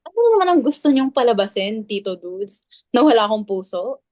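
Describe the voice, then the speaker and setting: A woman's voice: medium pitch, lively intonation, fairly quick, mellow, dry recording, clean studio sound, lightly acted. A woman with a Filipino accent playing an anxious, defensive club member in a dramatic reading. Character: anxious, defensive clubwoman guarding the club's image.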